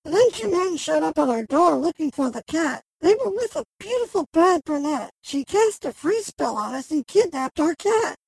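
A woman's voice: sits high, sing-song, normal speed, creaky, few pauses, darkish tone, dry recording, heavily acted, rough recording. A woman playing a practical, determined young sister leading a quest in a fantasy audio drama. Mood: earnest.

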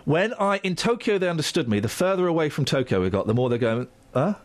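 A man's voice: a little high, big pitch swings, quick pace, no echo. A male radio presenter in a studio, bantering in a playful, teasing, sing-song way.

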